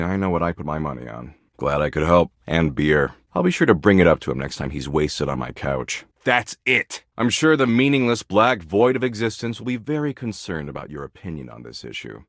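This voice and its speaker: A snarky, nihilistic slacker from a dating game. His deep voice swings widely between flat indifference and mocking emphasis, all in flippant slang. It is recorded close and dry. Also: dry recording. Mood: jaded, sarcastic. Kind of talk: video game character dialogue.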